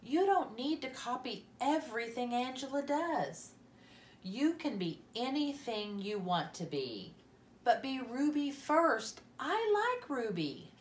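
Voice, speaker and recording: A woman's voice: sits high, very animated, slow, roomy sound, slight background noise, voice pushed up, full character voice. A woman voicing a kindly, lilting schoolteacher. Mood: gentle, kind. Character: kindly female grade-school teacher, gentle and encouraging. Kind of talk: children's storybook character voice.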